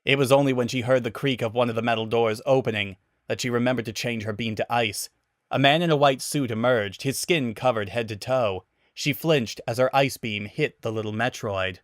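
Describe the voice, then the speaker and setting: A man's voice: medium pitch, no echo, studio quality. A man giving a dramatic scripted narration of a story.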